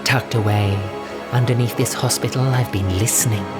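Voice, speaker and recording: A man voicing a vain, scheming old woman, a skin creature in an audio drama, with a dreamy, drawn-out croon. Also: medium pitch, steady tone, over loud music, close to an everyday voice. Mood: sly, enticing. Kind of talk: fan-made audio drama villain.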